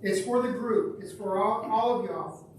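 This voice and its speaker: A man moderating a candidate forum in a casual, halting way, asking the room for a show of hands. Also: high voice. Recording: echoey hall, distant mic, faint noise.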